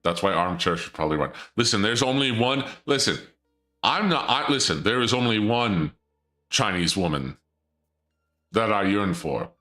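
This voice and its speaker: A young man streaming and chatting casually, rambling and joking in a theatrical dark-lord persona. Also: on the low side, expressive, moderate pace, faint music behind.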